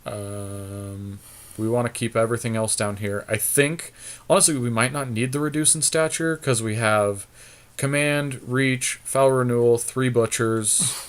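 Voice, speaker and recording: A man's voice: mid-range voice, fairly even intonation, unhurried, clear, resonant tone. A man co-hosting a podcast, chatting casually and thinking aloud as he plays a card game, with some offhand swearing. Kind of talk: casual chat while drafting a card game.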